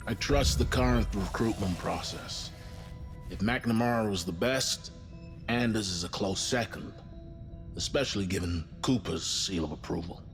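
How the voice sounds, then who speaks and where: An actor playing a middle-aged spaceship commander in a game, measured, formal and encouraging with his crew. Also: lowish voice, close to an everyday voice. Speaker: mission commander. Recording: long hall reverb, faint music behind.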